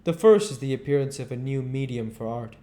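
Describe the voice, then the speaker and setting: A man voicing an earnest, devoted, brooding gentleman painter from a novel, with an intense and sincere manner. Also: mid-range voice, lively intonation, a little fast, lightly acted.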